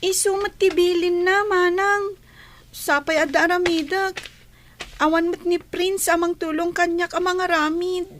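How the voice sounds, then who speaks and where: A woman's voice: very high voice, fairly even intonation, a little slow, fairly bright tone, a little hiss or hum. A woman playing a reluctant young girl with homework to finish, pleading with her older sister. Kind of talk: radio drama acting.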